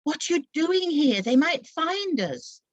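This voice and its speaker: A woman's voice: sits high, very animated, a little slow, no echo, voice pushed up. Frightened male fugitive, read by a woman, urgent and fearful, slightly muffled dramatic story recording. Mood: anxious, alarmed. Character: frightened, anxious man hiding from Roman soldiers.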